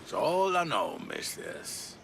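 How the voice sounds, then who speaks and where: An actor playing a weary, bitter old townsman in a video game, gravelly and world-weary. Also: fairly low pitch, wildly swooping pitch, slow, fairly bright tone, slight background noise, exaggerated voice. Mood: guarded.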